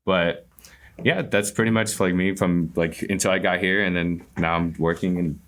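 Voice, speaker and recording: A man's voice: sits low, steady tone, normal speed, a bit bright. A man in his late twenties from the Southwestern United States, an easygoing podcast guest who says "like" a lot. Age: 20s. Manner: easygoing, says "like" and "yeah" often. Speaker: guest, co-founder. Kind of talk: casual interview chat.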